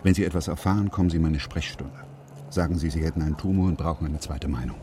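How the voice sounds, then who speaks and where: An actor playing an anxious, secretive surgeon and father in a radio crime drama, speaking gravely in terse, halting sentences. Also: very deep voice, warm tone, a little hiss or hum. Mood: urgent, secretive.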